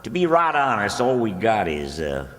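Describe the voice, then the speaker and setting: An actor playing an earnest, gullible small-town rustic schemer, folksy and homespun, in old-time radio comedy. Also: male voice, on the low side, sing-song, normal speed, a bit bright. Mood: doubtful haggling. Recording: echoey hall with long reverb.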